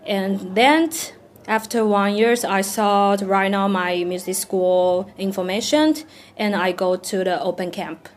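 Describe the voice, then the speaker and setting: Lady, slow halting english, keeps hunting for words. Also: medium pitch, steady tone. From Taiwan. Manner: halting, searching for words, laughs often. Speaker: singer guest. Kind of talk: interview answers.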